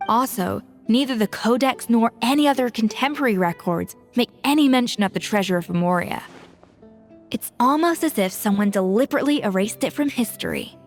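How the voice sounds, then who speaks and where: A woman's voice: a little high, expressive, brisk. An actress playing a composed, analytical young woman in a video game, speaking seriously and articulately. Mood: pensive. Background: music.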